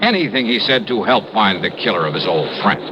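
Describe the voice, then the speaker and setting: An actor playing a shrewd, coolly persistent insurance investigator in a classic American radio crime drama, with a probing, clipped delivery. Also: male voice, on the low side, big pitch swings, brisk, over music. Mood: brisk narrating. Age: young adult. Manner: probing, clipped, hesitant lead-ins. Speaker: radio detective lead. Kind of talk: classic radio crime acting and first-person narration. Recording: dull, noisy, echoey old radio recording.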